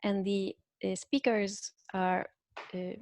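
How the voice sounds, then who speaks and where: A woman's voice: medium pitch, little pitch movement, a little mellow, no room sound, studio quality. Woman giving an academic talk. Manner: measured, frequent "uh" fillers, explanatory. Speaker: linguistics researcher presenting a talk.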